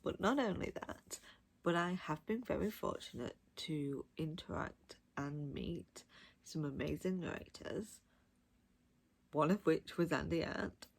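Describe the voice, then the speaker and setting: A woman's voice: low-pitched, a little slow, gravelly. A woman giving a casual, chatty book review with gushing, emphatic enthusiasm. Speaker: audiobook reviewer.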